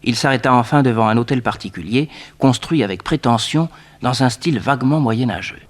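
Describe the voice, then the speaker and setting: A man's voice: medium pitch, brisk. A man reading scripted narration for a radio drama.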